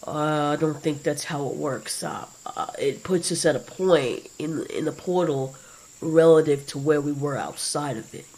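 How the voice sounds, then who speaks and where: A woman voicing a hesitant, soft-spoken male digger in an audio drama. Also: low-pitched, moderate pace, voice pushed down, natural voice, low-quality recording. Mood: unsure. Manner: hesitant, filler-heavy.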